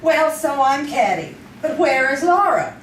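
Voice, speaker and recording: An actress playing a catty, teasing police-desk reporter with a knowing manner in a live old-time radio drama. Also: female voice, high-pitched, very animated, unhurried. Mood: needling, wry. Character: wry, catty, sharp-tongued female police-desk reporter. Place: theater stage, performed live. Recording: distant, echoey live stage, faint noise.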